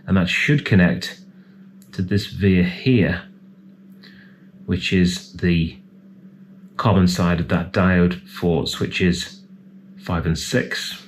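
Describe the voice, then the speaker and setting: A man's voice: sits low, fairly even intonation, very slow, long pauses, a little hiss or hum. A man giving casual, hands-on repair commentary as a technician, thinking aloud in a tentative way. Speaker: electronics repair technician presenting a repair.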